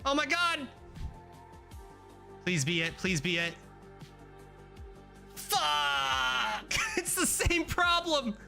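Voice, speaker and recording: A young man streaming himself coding, chatting casually with viewers, swearing and getting excited as he works. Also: extremely high pitch, drawn-out words, creaky, long pauses. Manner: excitable, swearing, talks to chat while coding. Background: faint music.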